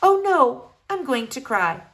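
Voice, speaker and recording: A woman's voice: high-pitched, very animated, unhurried, fairly bright tone, pitched above the performer's own voice, full character voice. A woman voicing an earnest, blunt but tender-hearted young girl in a storybook, with emphatic delivery. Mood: overwhelmed.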